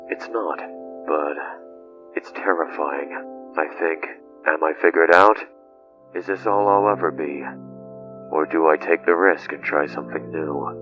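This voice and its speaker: Very deep-voiced, reflective freighter captain played by a man. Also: steady tone, unhurried, pauses often, lo-fi sound, soft background music. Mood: pensive, uneasy. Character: weary, introspective male space freighter captain. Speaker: voice actor in a fan dub. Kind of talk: dramatic game fandub dialogue.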